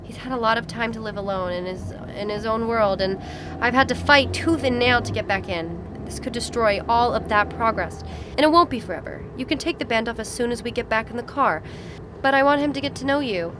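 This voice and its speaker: Woman acting as loving anxious fiancée, fairly high pitch, pleading, audio drama, noisy car ambience. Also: a little fast, natural voice. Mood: pleading, earnest.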